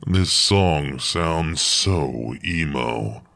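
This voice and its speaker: A cruel inner voice that sneers and belittles, played by a man. It talks in a very deep, gravelly drawl and takes its time with every jab. It comes from a radio drama. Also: expressive, pushed and bright, full character voice. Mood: mocking. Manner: sneering, belittling, slow and cutting. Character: Otto's cruel inner critic voice, male, mocking. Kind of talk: audio drama acting.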